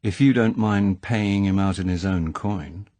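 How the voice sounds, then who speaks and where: A man voicing a composed male agent who speaks quietly and evenly. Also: low-pitched, dry recording. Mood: calm. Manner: quiet, measured.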